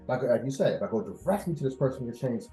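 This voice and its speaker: A man in his thirties talking casually as an interview guest, animated and expressive, repeating phrases for emphasis. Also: medium pitch, fast talker. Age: 30s. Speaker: podcast guest, air traffic controller. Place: indoors at host's house. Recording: roomy echo, faint music behind.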